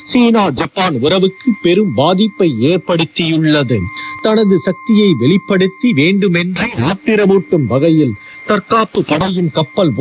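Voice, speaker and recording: A man reading scripted news in a formal, measured manner over a shortwave radio broadcast. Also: high voice. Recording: dull, hissy shortwave radio with hum.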